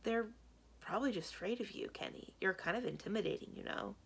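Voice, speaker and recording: A woman voicing a friendly, tactful schoolboy in a storybook, speaking frankly and casually to smooth things over. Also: medium pitch, brisk, voice pushed up. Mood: placating.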